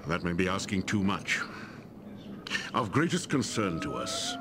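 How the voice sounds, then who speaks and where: An actor playing a grave, scholarly agent of a secret order in a fantasy video game, speaking in a formal, measured way. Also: male voice, low voice, big pitch swings, music in the background. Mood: grave, informative.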